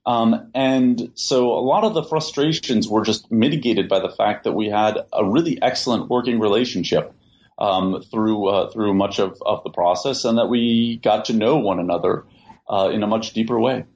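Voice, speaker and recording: A man answering interview questions at length, in a measured way, often repeating words as he thinks. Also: fairly low pitch, darkish tone. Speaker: interview guest, translator. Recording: dull lo-fi sound, faint hiss.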